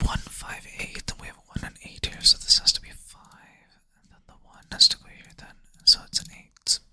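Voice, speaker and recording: ASMR whisperer, sleepy and self-deprecating, bright airy close-mic whisper. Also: moderate pace. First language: Swedish. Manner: soft whisper, thinking aloud, self-deprecating. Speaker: ASMR creator. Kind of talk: whispered ASMR puzzle play-through.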